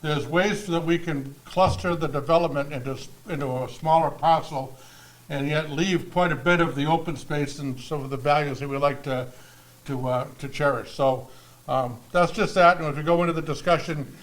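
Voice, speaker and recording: Halting man at a town panel. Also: fairly high pitch, steady tone, rough recording. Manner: halting, many ums and restarts. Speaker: town board member at a panel. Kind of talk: public panel talk. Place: library meeting room.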